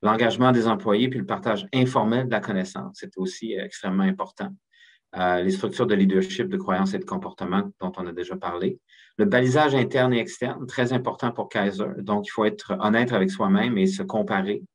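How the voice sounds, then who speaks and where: Low-voiced Québécois man presenting. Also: fairly even intonation. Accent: Québécois French. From Quebec, Canada. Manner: hesitant, measured delivery with repeated words. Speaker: health agency executive presenting a seminar. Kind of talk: academic seminar presentation, semi-spontaneous.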